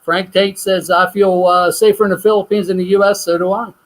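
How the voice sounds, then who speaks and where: A man's voice: high voice, little pitch movement, a little fast, poor audio. An American man with a self-described hillbilly twang, co-hosting an easygoing livestream chat. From United States. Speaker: co-host of a livestream.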